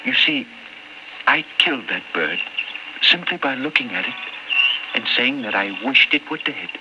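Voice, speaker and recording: A man's voice: mid-range voice, animated, moderate pace, natural voice. An actor playing a timid, anxious little old man in an old radio drama, speaking hesitantly and apologetically. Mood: earnest, anxious. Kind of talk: 1950s radio drama acting. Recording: muffled, noisy old radio recording.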